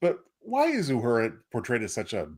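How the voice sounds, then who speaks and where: A man's voice: medium pitch, huge pitch swings, brisk, a little mellow. A man co-hosting a podcast in a casual, conversational discussion.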